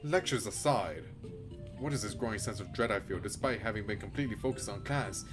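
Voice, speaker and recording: A man's voice: fairly low pitch, a little fast, over music. A man streaming a game, reading its story aloud theatrically and reacting casually, with frequent swearing. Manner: theatrical, expressive, swears freely. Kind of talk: dramatic read-aloud mixed with casual live reactions.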